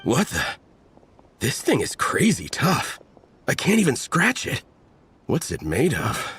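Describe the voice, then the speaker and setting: A man's voice: mid-range voice, wildly swooping pitch, slow, fairly bright tone, slight background noise, exaggerated voice. A man playing a brash, greedy treasure hunter in a video game. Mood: frustrated. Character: brash, greedy male treasure hunter rallying his brothers.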